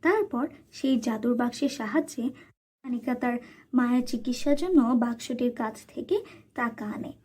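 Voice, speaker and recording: A woman's voice: on the high side, normal speed, a little mellow, slightly rough. A woman narrating a scripted children's cartoon story.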